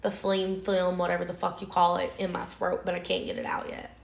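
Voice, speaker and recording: Raspy, hoarse young woman chatting. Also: fairly low pitch, completely flat delivery, a little fast. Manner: talkative, chatty, leads the conversation. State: hoarse from a cold. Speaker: podcast co-host. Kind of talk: casual chat. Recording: echoey room.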